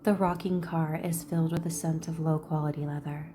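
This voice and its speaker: A woman with a slightly low voice gives casual video game commentary. She is sassy and blunt, and reads dramatically when voicing on-screen text. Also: a little fast, slight background noise. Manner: sassy, blunt, swearing, expressive. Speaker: video creator reacting to a game.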